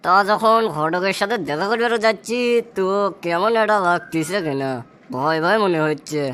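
A man's voice: high voice, normal speed, pushed and bright, clear, resonant tone. A man with a Bogra accent from northern Bangladesh voicing a brash, impatient young stoner desperate to marry in a comic cartoon, rambling, boasting and complaining. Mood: eager, musing. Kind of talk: comic dialect cartoon voice acting.

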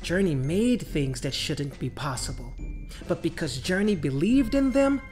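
A man reading a children's story aloud as narrator, dramatic and deliberate, drawing out key words. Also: on the high side. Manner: expressive, deliberate phrasing with drawn-out words. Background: music.

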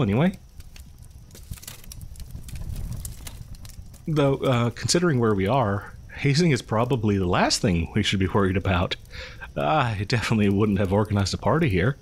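A man's voice: lowish voice, husky. A man playing a friendly, awkward young local who shares grim rumors in a hesitant, rambling, conversational way for an audio horror roleplay. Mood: shy, friendly. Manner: hesitant, rambling, nervous chuckles.

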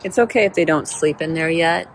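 Woman, lowish voice, casual backyard vlog on a phone, faint outdoor noise behind. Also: fairly quick, low-quality recording. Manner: chatty, talks to her hens in a high playful voice. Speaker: backyard chicken keeper vlogger. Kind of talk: casual first-person vlog narration. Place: outdoors in a backyard chicken run. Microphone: handheld phone camera, speaker off screen.

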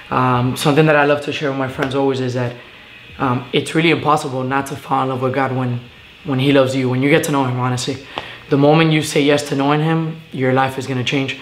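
Earnest young man in an echoey room. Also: mid-range voice. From Venezuela. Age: young adult. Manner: earnest, halting with many fillers. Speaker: guest. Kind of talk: casual testimony interview. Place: living room, on a couch. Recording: echoey room with faint hiss.

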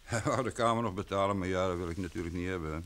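A man's voice: deep voice, very fast, a bit bright, a little hiss or hum. A man acting in a vintage radio drama as an obliging, chatty innkeeper. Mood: chatty, recounting. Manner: chatty, with verbal tags.

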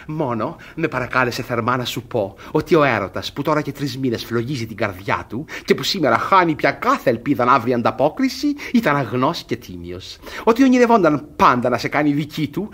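Husky, fussy, doting guardian. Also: male voice, mid-range voice, animated, hardly any pauses, fairly bright tone. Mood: smug, pitying. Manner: fussy, patronising, theatrical. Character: smug, doting, gullible older guardian and suitor. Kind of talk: Greek radio theatre comedy acting.

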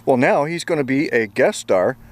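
A man's voice: medium pitch, expressive, moderate pace. A man in his forties from Canada, chatting upbeat on a geocaching vlog outdoors, filmed on a handheld camera at arm's length. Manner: chatty, upbeat, laughs often. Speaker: geocaching vlogger. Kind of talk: casual outdoor vlog commentary. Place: outdoors on a bridge and wooded trails in summer.

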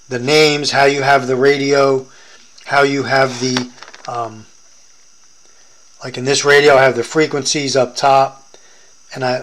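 A man's voice: medium pitch, fairly bright tone, low-quality recording. An American man demonstrating a product in a casual hands-on tutorial, explaining informally step by step.

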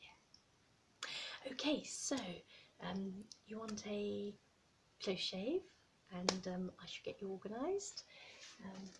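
A middle-aged woman speaks close to the mic in a small room, her voice sitting in a middle register as she plays a friendly barber. She chats in a soft, reassuring way and keeps slipping into quiet whispers. A faint hiss sits under her voice. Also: medium pitch, expressive, slow pace, long pauses. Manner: soft-spoken, slips between quiet talk and whispers; chatty, reassuring personal attention. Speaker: ASMR creator playing a barber. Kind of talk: ASMR roleplay. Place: small indoor room with plain wall.

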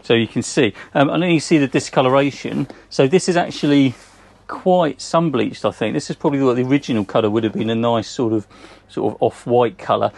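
A man in his forties with an English accent, casually walking through a boat for sale, chatty and relaxed, with frequent ums, recorded outdoors in a marina. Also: medium pitch, fairly quick, vocal fry. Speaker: boat sales presenter. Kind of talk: casual walkthrough tour.

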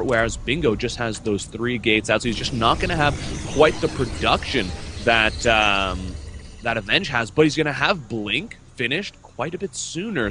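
A man's voice: medium pitch, noisy background. A man co-casting a live strategy video game match, laid-back and explaining the players' builds in detail. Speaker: esports co-caster.

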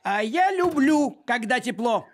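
A man voicing a thrifty, grumbling male cat with sardonic practicality in an animated cartoon. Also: extremely high pitch, measured pace, background noise. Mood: resigned.

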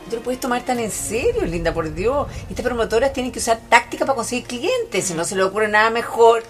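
Actress as lively, teasing Chilean girlfriend. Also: fairly high pitch, fast, close to an everyday voice. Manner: chatty, joking, colloquial. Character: teasing, flippant female friend and office colleague. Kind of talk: radio drama acting. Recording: music behind the voice.

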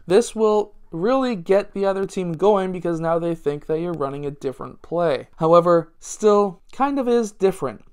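A man's voice: sits high, animated, moderate pace, few pauses, a little mellow, smooth. A man, a basketball coach talking through plays step by step in a casual instructional way. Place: small indoor room at home.

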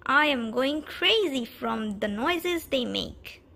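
A woman's voice: high voice, lively intonation, slow, vocal fry, pushed and bright, exaggerated voice. A woman voicing an exasperated man in a storybook, complaining with emphasis about his lot. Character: exasperated man fed up with his noisy house.

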